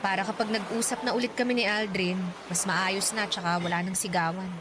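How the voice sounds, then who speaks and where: A woman's voice: mid-range voice, fairly even intonation, fairly quick, natural voice. An actress playing a troubled, affectionate young girlfriend in a radio drama, with an earnest, pleading manner. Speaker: young woman in a love story. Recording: clear background noise.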